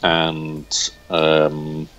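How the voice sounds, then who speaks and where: A man's voice: extremely low pitch, monotone, extremely slow, a bit bright. A man chatting as an interview guest, a writer who speaks hesitantly and often searches for words, on a lo-fi recording. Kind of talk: casual interview chat.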